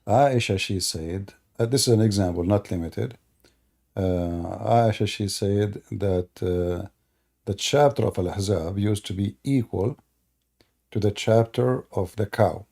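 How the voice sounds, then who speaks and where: A man hosting a live debate stream, talking casually and animatedly, laughing often and pausing with fillers. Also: lowish voice, vocal fry, dark tone. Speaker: debate show host.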